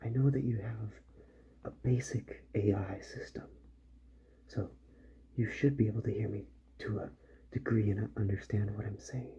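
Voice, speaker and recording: Hushed, mellow man doing ASMR roleplay. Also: on the low side, fairly even intonation, normal speed, pauses often, breathy edge. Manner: gentle, hushed, often drifting into whisper, musing to himself. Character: calm, methodical male doctor who repairs robots. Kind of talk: ASMR roleplay, soft-spoken. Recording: muffled audio with faint background noise.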